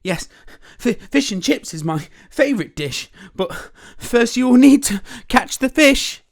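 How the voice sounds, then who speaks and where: Woman putting on a loud, fussy, hungry storybook king. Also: on the high side, big pitch swings, pitched above the performer's own voice, full character voice. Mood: bossy, eager. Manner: slow, emphatic, bossy declarations. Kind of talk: children's storybook character voice.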